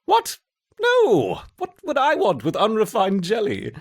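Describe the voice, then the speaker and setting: An actor playing a scheming, eccentric mad scientist in a video game, with theatrical muttering and grandiose, evasive speech. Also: male voice, sits high, huge pitch swings, measured pace, gravelly, husky, exaggerated voice. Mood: flustered. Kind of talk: video game character acting.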